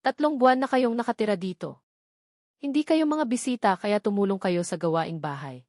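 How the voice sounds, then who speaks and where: A woman's voice: medium pitch, animated, a little fast, darkish tone, no echo, clean studio sound, lightly acted. A woman voicing a resentful, nagging aunt who scolds and demands, in a dramatized story. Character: nagging, resentful aunt grudgingly housing relatives. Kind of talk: dramatized novel narration.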